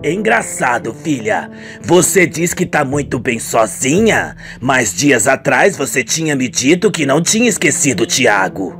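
A performer voicing a doting, encouraging middle-aged father in a radio soap, gentle and paternal. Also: medium pitch, very animated, measured pace, soft background music, voice pushed down. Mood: puzzled.

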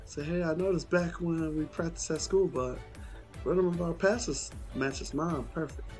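A man's voice: fairly high pitch, measured pace, a little mellow. A young man doing casual, slangy gaming commentary and reading game dialogue aloud. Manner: informal, slangy. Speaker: gaming streamer. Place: indoor room at home, streaming on webcam. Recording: game music behind the voice. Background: video game music.

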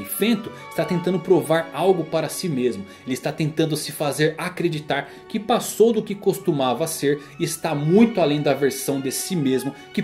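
A man's voice: fairly high pitch, darkish tone. A man with a Brazilian accent delivering dramatic scripted narration, like a storyteller explaining a saga. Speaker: narrator of an anime and manga explainer channel.

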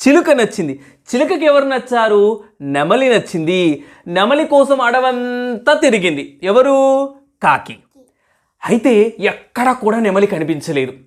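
A woman's voice: fairly high pitch, big pitch swings, a little slow, pauses often. A woman telling a moral story as host, teacherly, asking questions and repeating answers as if to a young child.

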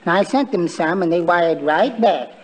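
A meek little henpecked husband, with a timid, simpering politeness and very swooping pitch. He speaks like a giggly poet from a vintage 1940s radio comedy, sweetly resentful of his wife. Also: expressive, normal speed. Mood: meek, polite.

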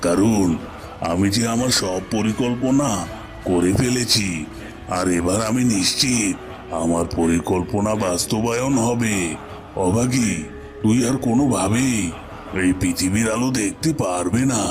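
A man playing a scheming evil tantric sorcerer in a cartoon, with a menacing, drawn-out and mock-pitying delivery. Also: lowish voice, close to an everyday voice. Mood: mock pity, menace. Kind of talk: dramatic cartoon voice acting. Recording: music bed under the voice.

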